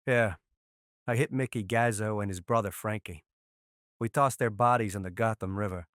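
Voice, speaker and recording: A forceful, sharp-edged male voice, an actor's, close and dry. He is a cocky mob boss in a comic-book crime drama, brash and sardonic with menace underneath. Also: fairly low pitch, normal speed, no room sound, natural voice. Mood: sardonic, dismissive. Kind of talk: animated crime drama acting.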